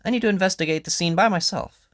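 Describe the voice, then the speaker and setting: A man playing a brisk, confident detective in an audio drama, with a probing, theatrical, declarative manner. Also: high-pitched, a bit bright, no echo, clean studio sound, voice pushed up. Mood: brisk, decisive. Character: brisk, confident male detective, incisive and commanding.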